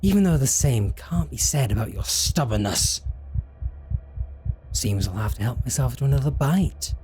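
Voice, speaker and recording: A man's voice: mid-range voice, very animated, moderate pace, a little mellow, a little hiss or hum, close to an everyday voice. A man playing a possessive, menacing, seductive vampire in a roleplay audio drama, speaking with theatrical, mock-tender threat. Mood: hungry, taunting.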